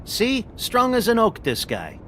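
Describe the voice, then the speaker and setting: A man's voice: very high-pitched, huge pitch swings, a little slow, bright, forceful tone, a little hiss or hum, full character voice. A man voicing a blunt, wisecracking talking pig in a video game, loyal and slangy in manner. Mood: wry, reassuring. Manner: sardonic, slangy, informal.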